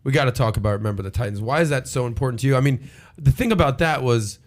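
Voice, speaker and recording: A man's voice: medium pitch, fast. Inquisitive male podcast co-host. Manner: asks questions, brief interjections. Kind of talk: casual podcast chat.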